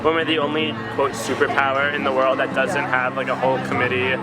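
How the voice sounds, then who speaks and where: A young man from Vermont giving casual, slightly halting answers in a street interview. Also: medium pitch, monotone. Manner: casual, uses "like" and "uh". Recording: loud music behind.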